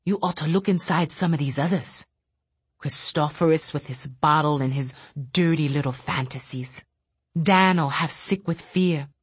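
A woman voicing a frail, nervous young male telepath who wails and snaps, husky-voiced. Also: deep voice, normal speed, dry recording. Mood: bitter, hushed. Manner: frantic, wailing, then bitter and snappish.

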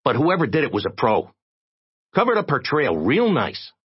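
A man voicing a tiny, frantic, streetwise reporter with a squeaky, irritating little voice, in an audiobook. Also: huge pitch swings, moderate pace, breathy edge, highs cut off. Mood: gossipy. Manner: streetwise slangy American talk.

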